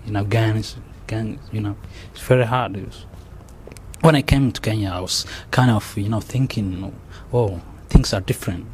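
A low-pitched man with a Somali accent speaks haltingly, stopping to restart and filling gaps with "you know." Faint noise sits under the recording. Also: darkish tone. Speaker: interviewee. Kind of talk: oral history interview.

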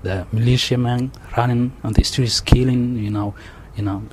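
A young man with a Somali accent, telling his story in an interview, halting and full of restarts and fillers. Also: mid-range voice, fairly even intonation, a little mellow. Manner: halting, many restarts and "you know" fillers. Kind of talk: oral history interview. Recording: faint background noise.

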